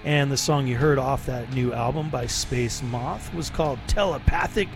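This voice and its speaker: A man's voice: mid-range voice, normal speed, soft background music. A man hosting a late-night radio show, chatting casually and rambling between songs with fillers and laughs. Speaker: radio DJ host.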